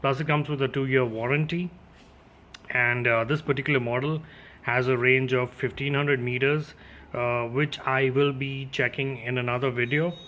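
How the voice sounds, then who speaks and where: A man's voice: medium pitch, steady tone, moderate pace, fairly bright tone. Casual male reviewer with noisy background. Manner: informal, addressing viewers as guys. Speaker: motorcycle gear reviewer. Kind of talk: casual unboxing and product demo.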